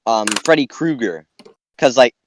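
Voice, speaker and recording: A man chatting casually as a podcast panellist, haltingly repeating phrases as he builds his ideas. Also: mid-range voice, animated, background noise. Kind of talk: casual chat.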